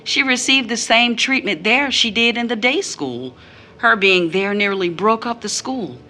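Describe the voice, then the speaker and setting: A woman's voice: fairly high pitch, animated, a little slow, sharp tone. A woman playing a bitter, disillusioned mother in an audio drama, emphatic and grave. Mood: bitter, defiant. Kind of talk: audio drama stage-style acting.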